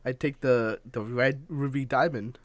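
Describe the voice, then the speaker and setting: A man in his twenties chatting casually with friends in a halting, sweary way. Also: mid-range voice, fairly even intonation. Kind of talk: casual group chat.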